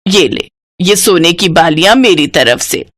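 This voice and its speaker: A woman voicing an affectionate, approving elderly mother-in-law in a moral cartoon story, speaking slowly and emphatically. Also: lowish voice, lively intonation, fairly bright tone, voice pushed down. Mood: delighted.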